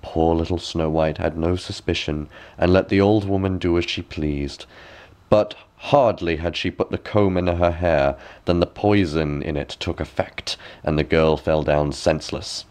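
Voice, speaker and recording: A man's voice: sits low, fairly even intonation, a little slow, a little mellow. A man reading a fairy tale as a bedtime story, with dramatic, careful phrasing.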